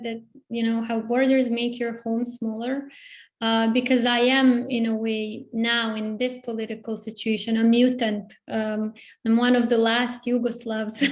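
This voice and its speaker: A woman's voice: on the high side, a little mellow. A young woman from Bosnia with a Bosnian accent, a novelist speaking earnestly on a panel over a video call. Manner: earnest, personal, fairly level delivery. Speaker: author on a panel.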